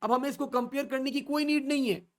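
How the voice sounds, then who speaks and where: A man teaching an online coding class, asking the class questions and answering them himself as he steps slowly through each value. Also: very high voice, fairly even intonation. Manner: slow and repetitive, stepping through values. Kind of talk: online coding lesson in mixed Urdu and English.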